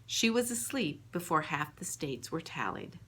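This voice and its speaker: A woman reading her own book aloud as scripted audiobook narration, in a measured storytelling manner. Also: low voice, big pitch swings, a bit bright, husky.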